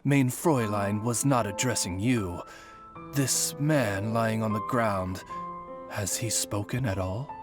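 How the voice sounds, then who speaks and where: A man's voice: lowish voice, slow pace. A man voicing a loyal raven attendant in a video game, formal and courteous in his diction. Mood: composed. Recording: music playing behind the voice.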